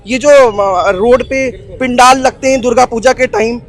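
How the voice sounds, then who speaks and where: A man's voice: very high-pitched, measured pace. A man giving a spontaneous, argumentative opinion in an interview, repeating himself and searching for words. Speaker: street interviewee. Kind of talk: spontaneous opinion, Hindi mixed with English.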